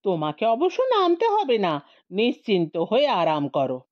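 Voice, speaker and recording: A woman's voice: mid-range voice, huge pitch swings, normal speed, dull audio. A woman voicing a crotchety, crafty old bald man in a comic audio story. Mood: meek, wheedling. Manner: grumbling, then wheedling and mock-meek.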